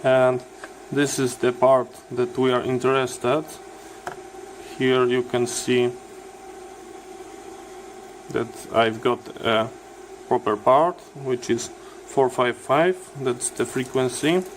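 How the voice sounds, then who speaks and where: A man presents a hands-on repair tutorial at a very slow pace. His phrasing is halting and non-native as he explains each step. His pitch is average, and a clear background hum runs under the voice. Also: steady tone, rough recording. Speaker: electronics repair video host.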